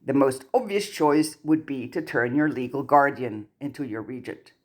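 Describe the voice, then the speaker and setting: A narrator voicing a stern male goblin account manager in a storybook, formal and curt in a monotone manner. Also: medium pitch, normal speed, natural voice.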